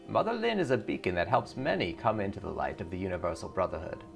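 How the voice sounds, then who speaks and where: Man acting a solemn, low-voiced cult preacher. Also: very animated, fast talker, gravelly, full character voice. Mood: fatherly, guarded. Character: smooth, pious male cult preacher, solemn and fatherly. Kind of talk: video game dialogue read aloud. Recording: music behind the voice.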